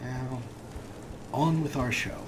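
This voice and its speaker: A man with a middle-pitched voice talks through his gameplay in a relaxed, explaining way, slipping in dry, wry jokes. Clear background noise runs behind his voice. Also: slow. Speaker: let's play host. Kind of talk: casual game commentary.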